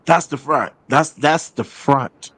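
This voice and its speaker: A man's voice: mid-range voice, slow, a bit bright. A man hosting a show, chatting casually through announcements and often repeating phrases for emphasis. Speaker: show host and playwright.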